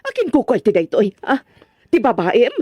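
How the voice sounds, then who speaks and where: Woman acting a husky, jealous Filipino wife. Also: a little high, wildly swooping pitch, moderate pace, darkish tone, no room sound, dull audio, heavily acted. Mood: angry, accusing. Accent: Filipino (Ilocano). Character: jealous, suspicious, accusing wife. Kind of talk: Ilocano drama series voice acting.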